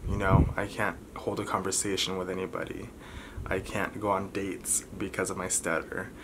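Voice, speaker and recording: A man in his twenties talking candidly to camera as a vlogger, halting and pausing often between short phrases. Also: low-pitched, monotone. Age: 20s. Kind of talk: candid personal talk to camera. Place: bedroom, indoors. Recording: clearly noisy room recording.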